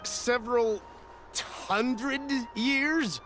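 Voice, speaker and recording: Nervous game merchant, high bright voice, flustered n polite, music behind. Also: male voice, drawn-out words, exaggerated voice. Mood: incredulous. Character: anxious, cautious male merchant, humbly apologetic. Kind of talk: video game voice acting.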